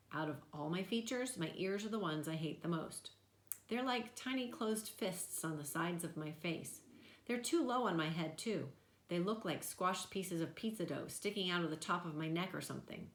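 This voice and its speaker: Female storyteller, slightly low voice, expressive children's book narration, audibly roomy recording. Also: normal speed. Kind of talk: expressive children's novel read-aloud.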